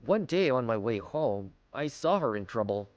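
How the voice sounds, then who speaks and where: A man's voice: medium pitch, sing-song, normal speed, dry recording, dull audio, natural voice. A man voicing a kind, self-assured teenage schoolboy who narrates his own story in an anime-style dub, earnest and a little smug. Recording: music bed behind voice.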